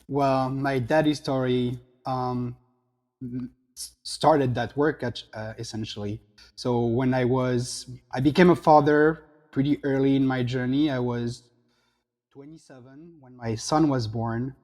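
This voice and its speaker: A man's voice: medium pitch, steady tone, measured pace, darkish tone, big-room sound. A middle-aged man from the south of France with a French accent, speaking reflectively as an interview guest over a video call. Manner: reflective, gesturing hands while talking. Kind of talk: interview conversation. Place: home room.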